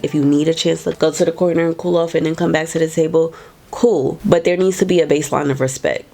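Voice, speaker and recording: A woman in her twenties or thirties hosts her own talk show and speaks straight to her audience about personal matters. Her voice sits low for a woman and sounds a bit nasal and stuffy from a cold. She talks in an earnest, emphatic way, often repeating a phrase to drive a point home. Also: creaky, dark tone. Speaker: podcast host. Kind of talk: casual reflective talk to viewers.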